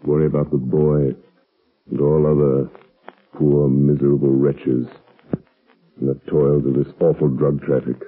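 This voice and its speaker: Suave mysterious crime fighter, super deep slow voice, old muffled 1930s radio. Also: male voice, flat delivery, breathy edge, full character voice. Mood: calm, resolute. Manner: measured, dramatic, portentous. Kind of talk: 1930s radio drama acting. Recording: muffled tinny 1930s radio broadcast recording.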